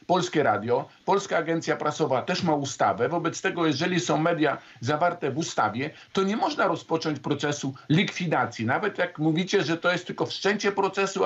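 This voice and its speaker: A man with a fairly high voice argues as a guest in a political debate. His manner is accusatory, and the sound is dull, like a muffled video call. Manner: argumentative, accusatory. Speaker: panel guest.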